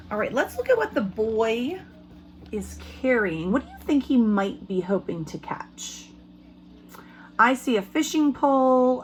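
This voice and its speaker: A woman teacher giving an expressive read-aloud lesson for young children, pausing to ask them questions. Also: a little high, a little hiss or hum, rough recording. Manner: expressive storybook reading. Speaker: elementary school teacher.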